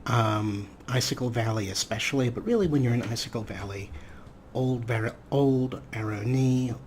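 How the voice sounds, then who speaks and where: A man's voice: medium pitch, moderate pace, a little mellow. Chatty man narrating, noisy background. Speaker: let's play host. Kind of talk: casual let's play commentary with some read-out game text.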